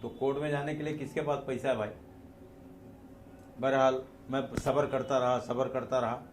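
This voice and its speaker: A man's voice: medium pitch, little pitch movement, moderate pace, roomy sound, a little hiss or hum. A man with a Mumbai Hindi accent giving an unscripted religious talk with personal stories, emphatic, repeating phrases and asking rhetorical questions.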